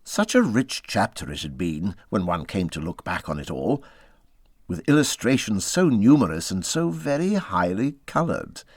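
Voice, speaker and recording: Male audiobook narrator, lowish voice, highly animated intonation, close dry sound, expressive storybook reading. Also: moderate pace, no room sound.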